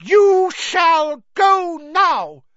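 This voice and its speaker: A man voicing a small, devoted male house-elf servant in an audiobook, shrill and emphatic, speaking of himself in the third person. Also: big pitch swings, extremely slow, fairly bright tone, no room sound, highs cut off, heavily acted. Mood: fierce defiance. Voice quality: shrill, squealing.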